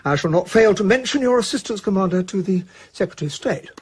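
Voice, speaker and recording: An actor playing a pompous, cautious colonial governor in a radio drama, formal and hurried, with an English accent. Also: male voice, sits high, animated. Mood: stiffly formal.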